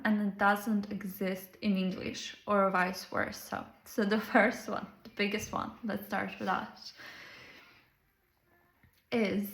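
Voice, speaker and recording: A woman with a Serbian accent, a Serbian native speaker, speaks slowly and earnestly to the camera, drawing out her phrases. Her grammar is simple and non-native. Her voice rings in a roomy, echoing space. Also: mid-range voice, gravelly. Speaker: life coach. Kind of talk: reflective monologue to camera.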